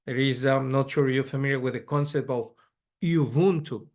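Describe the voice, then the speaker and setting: Measured man lecturing. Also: medium pitch, darkish tone. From Ecuador. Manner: measured, frequent restarts and repeated words. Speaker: pediatric neurologist lecturer. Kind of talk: conference lecture.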